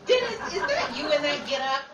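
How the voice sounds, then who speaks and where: Actress as scatterbrained quick-witted woman, theatrical, very swooping intonation, old-time radio comedy, distant microphone. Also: high voice, sing-song, over a noisy crowd. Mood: wry, teasing. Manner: theatrical, flustered, exclaiming. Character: Betty, flustered, scatterbrained, quick-witted 1940s Hollywood woman. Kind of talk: 1940s radio comedy acting. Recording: echoey room, distant mic, faint noise.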